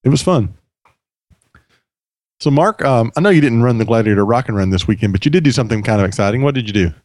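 A man hosting a podcast in casual chat, his voice a little hoarse from yelling, talking in a chatty, rambling way with frequent restarts. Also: mid-range voice, expressive, quick pace, no room sound. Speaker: podcast host.

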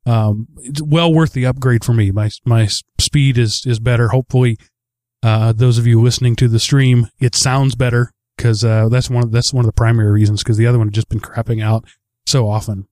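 A man in relaxed conversation as host of a talk stream. His delivery is halting and rambling, doubling back on words, in a voice of ordinary middle pitch. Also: dry recording. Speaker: host of a tech chat show. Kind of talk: casual rambling chat.

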